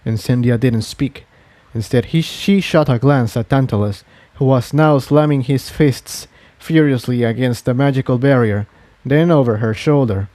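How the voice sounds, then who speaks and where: A man's voice: medium pitch, normal speed, warm tone, no room sound. A man reading an adventure story aloud as a scripted dramatic narration. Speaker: fan fiction reader and narrator.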